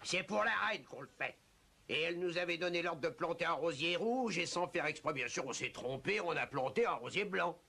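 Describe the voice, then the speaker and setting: A man voicing a nervous, hapless royal servant with a gravelly rasp and an anxious, pleading manner in a French cartoon. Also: a little high, expressive, fairly quick, bright, forceful tone, heavily acted. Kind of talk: French cartoon dub acting.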